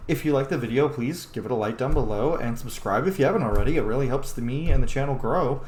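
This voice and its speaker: A man's voice: mid-range voice, fast talker, darkish tone. A man giving casual let's play commentary, with muttered asides to himself and casual swearing. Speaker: host of a game roleplay video series. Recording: roomy sound with faint background noise.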